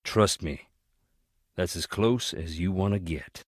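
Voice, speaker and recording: Mystery game role: brooding Southern man, deepish voice, close dry sound, blunt folksy warnings, haunted by family tragedy. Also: takes it slowly, no room sound. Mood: ominous. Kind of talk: video game character dialogue.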